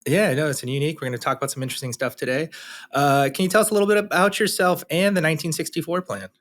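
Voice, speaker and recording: A man's voice: on the high side, steady tone, very fast, a bit bright. A man hosting a casual podcast interview, asking loose questions packed with fillers like "you know" and "kinda". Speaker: podcast host.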